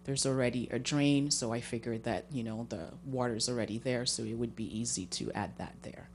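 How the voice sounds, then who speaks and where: A woman's voice: extremely low pitch, fairly even intonation, brisk. A woman speaking as a homeowner applicant, explaining informally into a handheld microphone at a public meeting. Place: municipal meeting room. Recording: faint background hum.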